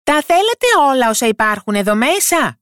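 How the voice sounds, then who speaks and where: A narrator putting on a high-pitched tiny cheeky boy. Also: wildly swooping pitch, no echo, clean studio sound. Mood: loud, mischievous. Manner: bold, mocking, calling out loudly. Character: tiny cheeky boy, thumb-sized fairy-tale hero. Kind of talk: storybook character voice.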